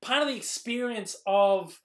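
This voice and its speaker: A young Australian man hosting a casual live-chat Q&A, rambling with many ums, his voice going hoarse. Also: sits high, measured pace, background noise. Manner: rambling, lots of ums and restarts. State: losing his voice. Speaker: YouTube host answering live chat.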